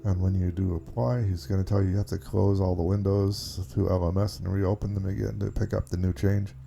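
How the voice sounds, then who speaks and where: A man giving a casual, unscripted software walkthrough, explaining things step by step in an informal way. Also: low voice, little pitch movement, fairly quick, vocal fry, a little hiss or hum. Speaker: tutorial presenter.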